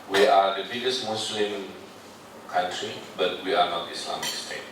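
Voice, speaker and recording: Indonesian man, native Sundanese, deep voice, halting English presentation; far-off mic in an echoey hall. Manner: halting, with many fillers and restarts. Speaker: speaker addressing a foreign delegation. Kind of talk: informal presentation. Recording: far-off echoey room with noise.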